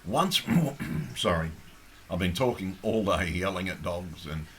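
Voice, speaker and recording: A croaky, hoarse Australian man making an impassioned, blunt appeal straight to camera, swearing heavily as he rants. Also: sits low, animated, unhurried, a bit bright, slight background noise. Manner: blunt, ranting, heavy swearing. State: hoarse, losing his voice. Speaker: activist.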